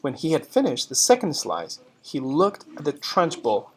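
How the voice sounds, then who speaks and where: Expressive teacher with lively pitch. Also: normal speed. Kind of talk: instruction and storybook read-aloud.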